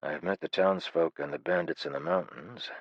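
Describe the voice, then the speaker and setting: A man voicing a calm, wryly amused grown farmer in an audiobook, speaking in a calm, mildly amused way. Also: low voice, normal speed, vocal fry, dry recording, muffled recording.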